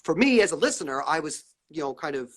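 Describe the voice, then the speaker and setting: A man's voice: on the high side, very animated, fast talker. Rambling man host, full of fillers. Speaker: interview host. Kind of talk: casual interview over live stream.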